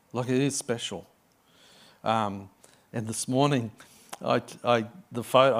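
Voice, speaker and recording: A middle-aged man with an Australian accent takes the pulpit as a visiting pastor. His sermon is chatty and effusive, full of asides and personal stories, with his voice sitting in an ordinary middle register. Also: mid-range voice. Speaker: guest pastor.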